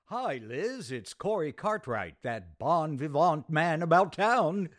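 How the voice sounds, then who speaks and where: An actor playing a suave, excitable man about town in a radio sitcom, theatrical and emphatic. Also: fairly high pitch, takes it slowly, no room sound, clean studio sound. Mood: wry, lovelorn.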